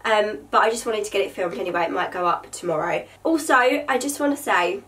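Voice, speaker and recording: A woman's voice: medium pitch, lively intonation, fairly quick, a bit bright, distant mic. A young woman in her twenties, sounding nasal from a cold, chatting casually to camera in her bedroom about things she bought, with lots of fillers. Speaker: vlogger. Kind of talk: casual haul chat to camera. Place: bedroom with vanity table, indoors.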